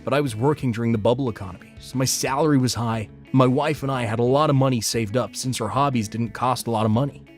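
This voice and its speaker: A man's voice: medium pitch, fast talker, hardly any pauses, faint music behind, lightly acted. A man narrating a scripted first-person story as a married man who lost his job. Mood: matter-of-fact.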